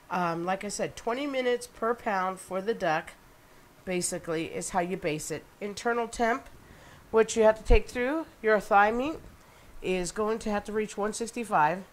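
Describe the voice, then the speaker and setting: A middle-aged woman with a stuffed-up, nasal voice, chatting excitedly through a cooking demonstration in her home kitchen. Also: mid-range voice, a little hiss or hum. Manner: chatty and excitable, with many ums and asides.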